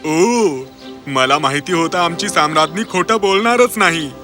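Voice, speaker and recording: A man playing a loyal, kindly fisherman in an animated fairy tale, eager and earnest. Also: a little high, unhurried, music in the background.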